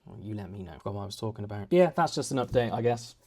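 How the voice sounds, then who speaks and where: A man's voice: on the low side, animated, racing, a little mellow. A young man chatting at home in a casual vlog, rambling and self-deprecating with many restarts. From United Kingdom. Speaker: vlogger. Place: home, indoors.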